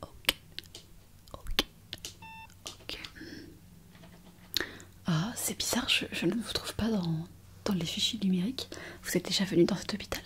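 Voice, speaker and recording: A woman playing a kind, eager young hospital intern in an ASMR roleplay, speaking in a soft, breathy whisper with an attentive, hesitant manner. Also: fairly low pitch, unhurried, pauses often. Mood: helpful, attentive. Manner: attentive, hesitant, gently reassuring. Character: kind, eager, chatty young female hospital intern on her first night shift.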